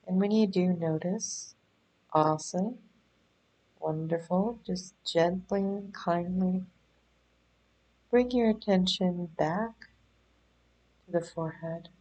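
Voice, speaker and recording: Slow, mellow-voiced woman guiding meditation. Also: fairly low pitch, rough recording. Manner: gentle, unhurried, soothing instruction with pauses. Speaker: meditation guide. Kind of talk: guided body scan meditation.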